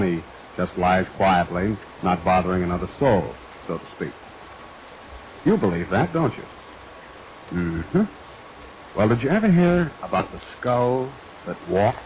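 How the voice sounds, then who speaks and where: A very deep-voiced sergeant introduces spooky tales on 1940s radio. He is sly and folksy, confiding in the listener. The old recording is noisy, with rain falling behind. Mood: sly, eerie. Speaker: show host.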